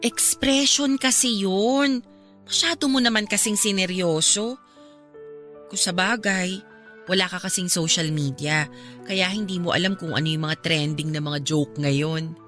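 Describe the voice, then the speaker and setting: A woman's voice: lowish voice, measured pace, fairly bright tone, soft background music. An actress playing a caring but frank young woman chiding her ex in a radio drama.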